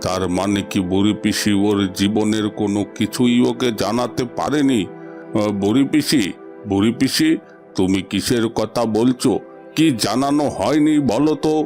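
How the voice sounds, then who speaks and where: A man's voice: on the low side, moderate pace, darkish tone, faint music behind, close to an everyday voice. A man voicing a possessive, desperate fish king in a melodramatic cartoon fairy tale. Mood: puzzled.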